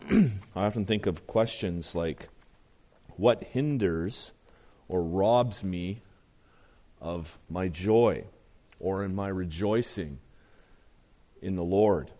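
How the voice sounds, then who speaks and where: A man preaching a sermon as pastor, his voice strained and deeper than usual, reading scripture with earnest, rising emphasis. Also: slow pace, pauses often, mellow.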